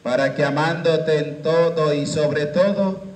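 A man in his thirties, a priest leading Mass into a handheld microphone in a small chapel, with a slow, measured liturgical cadence. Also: fairly high pitch, darkish tone. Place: small chapel with curtained walls. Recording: echoey chapel room.

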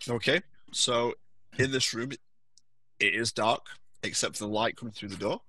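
A man's voice: medium pitch, normal speed, bright, forceful tone, dry recording. A man narrating a tabletop roleplaying game as the game master.

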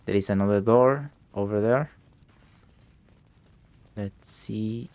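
A young man who is sick, his voice deeper than usual, commentating a game and reading notes aloud haltingly, with English not his first language. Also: a little mellow, dry recording. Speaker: gameplay streamer. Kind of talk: let's play commentary.

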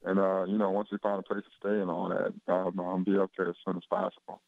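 Young male athlete guest on a dull phone line, deep and monotone, easygoing and rambling. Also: quick pace, creaky, dry recording. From Chicago, USA. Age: 20s. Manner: laid-back, rambling with many fillers. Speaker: basketball player guest. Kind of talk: casual phone interview.

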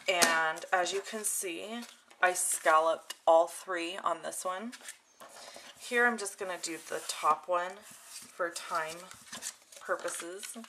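A woman with a fairly low voice hosts a hands-on craft tutorial. She talks casually and informally, step by step as she works. The recording has clear noise behind her, with clicks from handled tools. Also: slow pace. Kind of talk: casual hands-on demonstration narration. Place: indoor craft desk.

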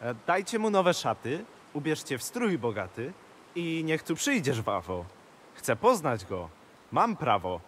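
A man's voice: on the high side, big pitch swings, unhurried, a little hiss or hum. A man playing an imperious but jovial king in a rhymed fairy-tale audio play. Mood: commanding. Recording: long reverberant hall sound.

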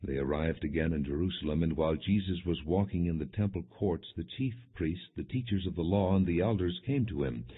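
Deep-voiced man reading scripture, phone-like sound. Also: a little fast, hardly any pauses, slightly rough. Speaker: audiobook narrator. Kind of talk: scripted scripture narration, non-dramatized. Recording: muffled phone-like lo-fi audio.

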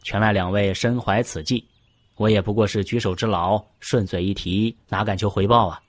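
An actor playing a slippery, cautious court official in an audio drama, deferential and hedging. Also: male voice, on the low side, fairly even intonation, normal speed, dry recording, lightly acted. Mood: modest, smooth.

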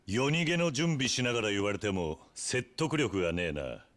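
Deep-voiced, stern yakuza lieutenant. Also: male voice, very animated, moderate pace, fairly bright tone. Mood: cold, stern. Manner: blunt, terse, composed. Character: calm, imposing yakuza lieutenant, stern and unyielding. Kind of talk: Japanese video game cutscene acting.

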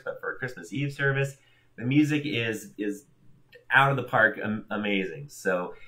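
A man's voice: fairly high pitch, pushed and bright. A man in his thirties hosting a church livestream from home, chatting cheerfully and greeting viewers by name in a loose, rambling way. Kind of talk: casual welcome chat. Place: home room in front of a backdrop.

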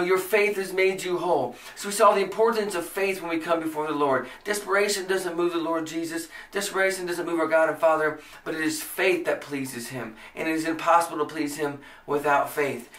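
A man's voice: on the high side, fairly quick, a bit bright, reverberant, distant mic, rough recording. A man in his thirties with an American accent, preaching a podcast sermon with earnest emphasis. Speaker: pastor. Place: small indoor room before a church banner.

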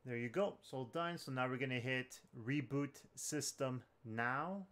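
Clear-voiced man giving a casual tutorial. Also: mid-range voice, unhurried, a bit bright. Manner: informal, plain-spoken. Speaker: tech tutorial host. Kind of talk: casual step-by-step tutorial narration.

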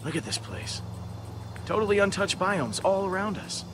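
A man's voice: high-pitched, sing-song, normal speed, very noisy. A man voicing a friendly, easygoing young adventurer sidekick in a video game, casual, wry and sympathetic. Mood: concerned. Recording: music under the dialogue.

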